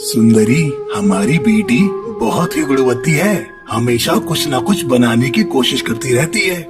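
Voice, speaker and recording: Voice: mid-range voice, expressive, a little slow, close to an everyday voice. An actor playing a worried, plaintive father bird, a poor blacksmith, in a cartoon story. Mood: worried, resigned. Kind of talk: Hindi cartoon story acting. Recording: loud music under the voice.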